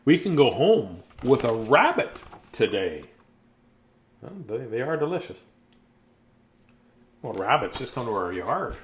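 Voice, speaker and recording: A man's voice: medium pitch, big pitch swings, unhurried, long pauses, slight background noise. A man reading a children's bedtime story aloud expressively, with playful, theatrical delivery and chatty, casual asides.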